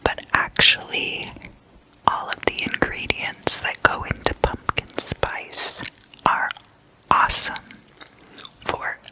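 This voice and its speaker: ASMR host for a sleepover chat: breathy whisper, slow delivery, very sharp top end. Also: poor audio.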